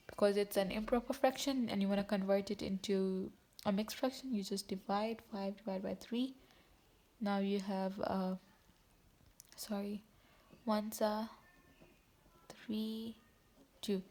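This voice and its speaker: Woman, math tutor, casual and chatty, fixes her slips out loud, mid-range voice. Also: medium pitch. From Tanzania. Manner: chatty, self-correcting, talks to viewers directly. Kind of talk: casual teaching video.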